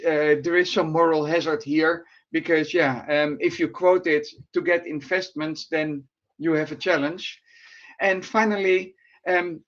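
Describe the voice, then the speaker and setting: Dutch man, remote presenter, higher voice, halting delivery, dull lo-fi line. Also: fairly bright tone. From Netherlands. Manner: hesitant, many fillers. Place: remote at home at night.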